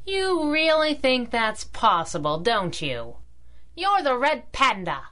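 An actress playing a plucky, sassy young crime-fighting sidekick in a 1930s-style radio adventure. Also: female voice, on the high side, huge pitch swings, bright, forceful tone, heavily acted. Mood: doubtful, probing. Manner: sassy, quick with comebacks.

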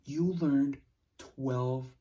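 A young man teaching young children, playful and animated, cheering them on and making sound effects while he reads a picture book aloud in a classroom. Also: mid-range voice, drawn-out words. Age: young adult. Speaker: preschool teacher. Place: classroom with word cards on a board.

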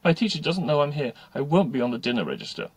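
A man's voice: a little high, very animated, quick pace, slightly rough, no room sound, pitched above the performer's own voice. A man voicing an earnest, fretful and easily upset schoolgirl with a polite manner.

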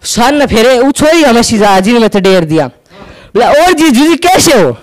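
A young man with a Haryanvi accent doing stand-up storytelling on an indoor stage into a handheld mic, acting out quick back-and-forth dialogue. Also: very high voice, sing-song, low-quality recording. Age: young adult. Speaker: stand-up comedian. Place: indoor stage with curtain backdrop.

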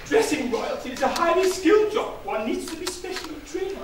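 A fussy royal valet played by a man in a pantomime, heard from a distance in an echoey hall. He is high-pitched and swooping, all servile propriety and deference. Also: expressive, brisk, slight background noise. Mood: fussy, deferential. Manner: fussy, deferential, over-proper. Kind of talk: theatrical pantomime acting. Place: theatre stage.

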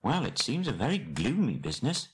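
A man's voice: medium pitch, wildly swooping pitch, a little fast, a little hiss or hum, pitched above the performer's own voice. A man voicing a small, good-natured hobbit in a storybook, earnest and rueful, with polite old-fashioned phrasing. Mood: rueful, dazed.

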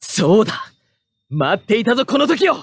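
Proud young robot pilot, anime game battle barks, hot-headed, forceful, very animated pitch, dry studio sound. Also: male voice, normal speed, creaky, breathy edge, no room sound, exaggerated voice. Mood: resolute. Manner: forceful, cocky shouting of short attack calls.

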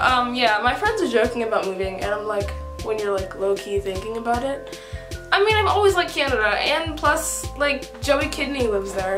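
A woman's voice: high-pitched, echoey room. A fifteen-year-old girl from the East Coast chatting casually to camera in her bedroom, rambling upbeat with lots of "like" and "whatever". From United States, East Coast. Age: teenager, 15. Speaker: vlogger on a shared channel. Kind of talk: casual get-to-know-me chat to camera. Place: small bedroom indoors. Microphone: camera mic at close range.

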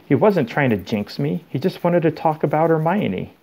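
A man's voice: fairly high pitch, big pitch swings, moderate pace, gravelly, darkish tone, pitched above the performer's own voice, exaggerated voice. A man voicing an earnest, defensive teenage wizard boy in an audiobook, with plain youthful speech. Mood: defensive, annoyed.

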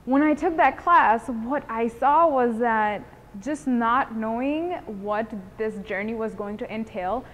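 A woman's voice: a little high, slight background noise, rough recording. Young woman, earnest testimonial. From Bangladesh. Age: about 20. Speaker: engineering student giving a testimonial. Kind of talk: interview testimonial, semi-prepared talk. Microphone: lapel mic.

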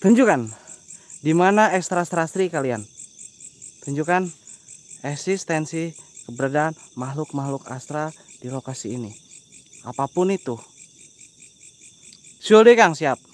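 A young man with a Sundanese accent and a stuffy nose from a cold, hosting a night ghost-hunting vlog outdoors on a close-held phone, switching between quick chatter and hushed, drawn-out calls to spirits. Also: fairly high pitch, animated, slight background noise. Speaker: paranormal explorer vlogger. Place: outdoors at night among banana plants. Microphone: phone camera held close.

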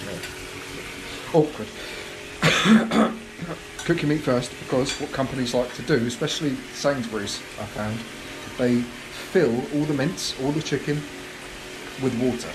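A man's voice: mid-range voice, measured pace, pauses often. A young man, chatting casually and explaining as he cooks in his home kitchen, filmed on a close handheld camera. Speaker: vlogger. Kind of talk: casual vlog chat while cooking. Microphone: handheld camera held close. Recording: home kitchen with sizzling pan noise. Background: frying sizzle.

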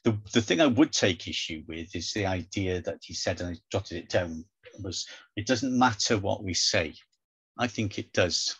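An older man from South Wales talks through a webcam with a very deep, husky voice. He makes his case loosely and conversationally, with plenty of "you know" between his points. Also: animated, moderate pace, fairly bright tone. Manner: chatty, lots of "you know". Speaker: debater. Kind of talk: debate presentation. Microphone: video call webcam.

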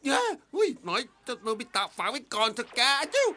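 Brash, bright-voiced schoolboy bully. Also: female voice, a little high, sing-song, quick pace, slight background noise. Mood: angry. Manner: brash, demanding. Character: bullying, hot-tempered schoolboy, pushy and threatening. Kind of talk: Thai cartoon dubbing.

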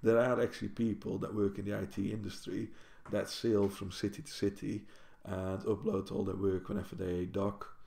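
Man with a gravelly low voice. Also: flat delivery, moderate pace, mellow. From Netherlands. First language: Dutch. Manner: rambling, self-correcting, with many restarts. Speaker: university librarian. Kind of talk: casual talking-head vlog. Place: home kitchen, indoors. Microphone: built-in camera mic at desk distance. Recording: roomy kitchen echo.

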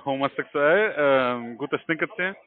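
A man's voice: a little high, lively intonation, normal speed, slight background noise. A man chatting casually as a caller on the phone. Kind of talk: casual chat. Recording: narrow, tinny phone line.

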